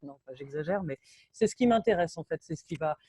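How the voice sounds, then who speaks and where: A French woman in her thirties giving an informal technical talk in an online meeting, often halting and correcting herself. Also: sits low, animated, dark tone, slightly rough, no room sound. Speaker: sound engineer and lecturer. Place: indoors on a video call.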